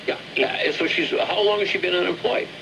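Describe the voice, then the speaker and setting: A man's voice: high voice, a little fast, gravelly. A man speaking on a radio broadcast, heard played back through a radio speaker. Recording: radio speaker playback with heavy static.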